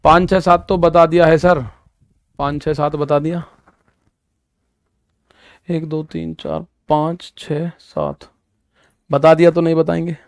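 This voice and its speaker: A man's voice: fairly high pitch, level tone, slow, creaky, pauses often, smooth, no echo, studio quality. A young man in his twenties teaching a maths lesson for exam preparation, repeating points and talking straight to his students with everyday examples. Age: 20s. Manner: repetitive, addresses students directly with "ठीक है" and "भाई".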